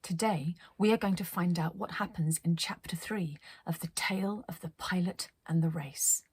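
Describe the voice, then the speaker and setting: A woman hosting storytime, narrating a children's story expressively and deliberately, with hushed phrase endings. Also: lowish voice, a little hiss or hum. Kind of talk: animated children's story reading.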